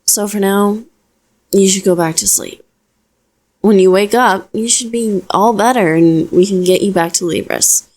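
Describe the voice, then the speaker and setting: A woman playing a protective, self-deprecating young witch in an audio roleplay, talking softly and reassuringly to the listener. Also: medium pitch, measured pace, natural voice. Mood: gentle, protective.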